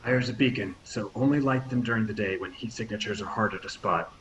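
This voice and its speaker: A man's voice: on the low side, brisk, a bit bright, a little hiss or hum, natural voice, low-quality recording. A man playing an injured but commanding spaceship captain in a sci-fi table read, direct and encouraging. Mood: watchful.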